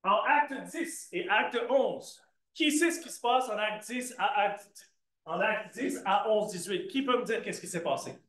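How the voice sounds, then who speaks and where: Lively man teaching. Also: sits high, unhurried. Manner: lively and conversational, questions the class and answers himself; slips into English asides. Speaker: Bible teacher. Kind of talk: church Bible class, talking to students. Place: church classroom. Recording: echoey room.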